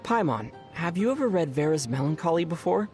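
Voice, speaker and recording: An actor playing a gentle, teasing young male adventurer in a video game, speaking in a measured, wry way. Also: a little high, very animated. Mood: conversational. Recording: music behind the voice.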